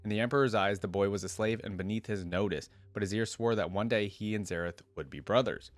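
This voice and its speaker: Male reaction-channel host, lowish pitch, casual commentator and reader, no room sound. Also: fast, no room sound. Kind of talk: casual reaction commentary mixed with reading aloud.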